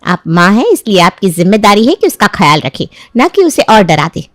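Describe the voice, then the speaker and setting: A woman's voice: mid-range voice, big pitch swings, brisk, no room sound, studio quality, lightly acted. A woman playing a protective hospital nurse in a cartoon story, politely but sternly reprimanding. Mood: firm, reproving. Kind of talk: cartoon moral-story voice acting.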